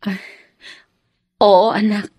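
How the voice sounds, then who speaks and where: A woman playing a gentle, dutiful young mother in a radio drama, tender and respectful with a hesitant edge. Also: mid-range voice, little pitch movement, slow, husky, no room sound. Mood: tender, evasive. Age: young adult.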